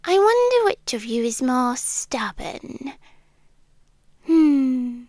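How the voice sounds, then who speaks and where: A British woman playing a possessive, sweetly menacing yandere girlfriend in an intimate ASMR roleplay, teasing and often slipping into breathy whispers. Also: high voice, sing-song, drawn-out words, a little mellow, no room sound, studio quality, full character voice. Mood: tender, wistful.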